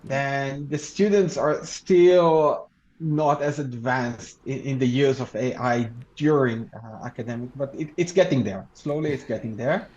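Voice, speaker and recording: A man whose first language is Hebrew, a law researcher and lecturer answering interview questions in a measured, halting way with frequent restarts. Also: medium pitch, darkish tone, muffled recording. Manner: measured, halting, with many restarts and "you know".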